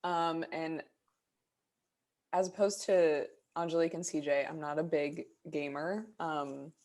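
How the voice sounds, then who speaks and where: A woman's voice: sits low, steady tone, a little slow, darkish tone. A young adult woman co-hosting a casual podcast over a video call, talking hesitantly with many fillers and restarts. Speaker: podcast co-host. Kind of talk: casual chat.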